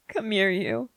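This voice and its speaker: Anxious, earnest young man, played by a woman, slow and halting, close dry sound, ASMR audio drama. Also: mid-range voice, sharp tone, no echo, exaggerated voice. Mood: shy, tender. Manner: halting, stammering, repeating phrases. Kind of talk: ASMR roleplay audio drama, spoken to the listener.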